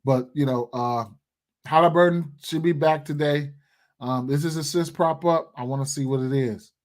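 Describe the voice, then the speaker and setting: Young male streamer, slightly raised pitch, loose slangy chat with many fillers, talking to a desk mic indoors. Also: normal speed. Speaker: sports betting livestream host. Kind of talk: casual livestream commentary, reading chat. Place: indoor room.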